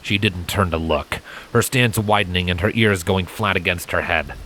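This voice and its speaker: Deep-voiced man narrating dramatically over rain. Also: normal speed, pushed and bright, a little hiss or hum, rough recording. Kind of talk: dramatic fan fiction narration. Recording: rain sound effects behind voice. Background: rain ambience.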